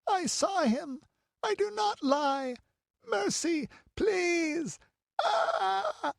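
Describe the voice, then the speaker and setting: A frail, frightened servant girl as a male narrator plays her in a storybook drama. She sobs and pleads in a very high, thin-pitched cry, recorded dry and close. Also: lively intonation, very slow, gravelly, no echo, heavily acted. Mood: terrified, pained. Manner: sobbing, pleading. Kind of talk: audiobook dramatic character voice.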